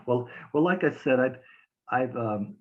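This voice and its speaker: A man's voice: medium pitch, lively intonation, takes it slowly, lo-fi sound. A man interviewing a guest over a call, talking hesitantly with many fillers and restarts. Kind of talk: casual interview chat.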